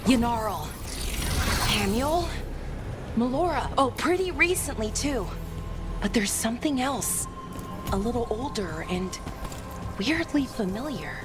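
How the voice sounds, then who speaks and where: An anxious, halting young elf heroine. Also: female voice, fairly high pitch, expressive. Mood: nervous, alert. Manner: halting, hesitant, repeats herself. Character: anxious, earnest, self-blaming young elf woman adventurer. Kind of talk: video game voice acting. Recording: game music behind the voice.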